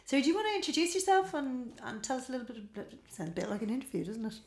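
A woman podcast host, lively and teasing, laughing often. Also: sits high, racing. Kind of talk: podcast conversation. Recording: echoey room.

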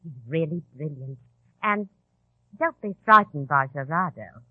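An actress in 1940s radio style playing an informal, reassuring go-between for a secret society. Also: female voice, sits low, expressive, a little slow, no room sound. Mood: nervously reassuring. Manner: informal, reassuring, hesitant asides. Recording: tinny, band-limited old radio broadcast.

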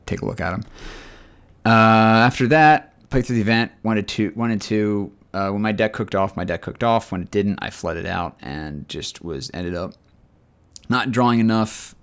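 A man's voice: lowish voice, moderate pace, clean tone. A man with a scratchy voice telling rambling, chatty stories in a casual chat as podcast co-host.

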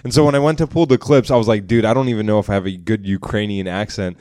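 A man's voice: on the low side, fairly even intonation, fast talker, a little mellow, no room sound, studio quality. A man co-hosting a live comedy podcast on a theater stage, joking, swearing and laughing often. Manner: jokey, laughs a lot, swears casually. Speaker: podcast co-host.

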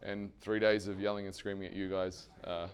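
A man losing his voice gives a lively fitness seminar to trainers and keeps asking the room questions. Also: sits low, fairly quick. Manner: energetic, asks the audience questions and answers them himself; swears casually. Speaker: fitness coach presenting to trainers. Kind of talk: live seminar presentation.

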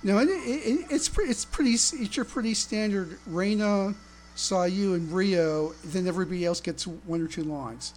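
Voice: medium pitch, moderate pace, darkish tone, slight background noise, poor audio. A fan podcast co-host explaining things casually, stammering and repeating words.

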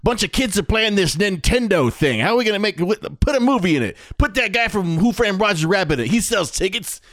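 A man voicing a brash, money-hungry Hollywood executive in a loud, pushy manner. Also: animated, no echo, studio quality. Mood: greedy, excited. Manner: loud, pushy, rapid-fire pitch.

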